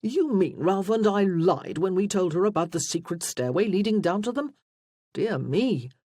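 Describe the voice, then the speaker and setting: Narrator doing mischievous posh youngster, loud exclamations, mock shock, swoopy husky voice. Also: mid-range voice, expressive, normal speed, dry recording, studio quality, voice pushed up, natural voice. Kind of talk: period romance audiobook dialogue.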